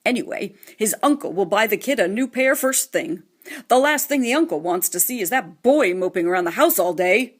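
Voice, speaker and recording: A woman's voice: mid-range voice, expressive, moderate pace, lightly acted. A woman voicing a grumpy, dismissive old grandpa mouse in a storybook. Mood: scornful. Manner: scoffing.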